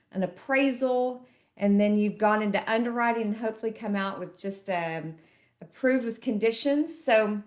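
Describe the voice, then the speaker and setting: A middle-aged woman, a loan officer chatting to camera and explaining things step by step, a bit sniffly from allergies. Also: on the high side. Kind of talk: casual educational talk to camera.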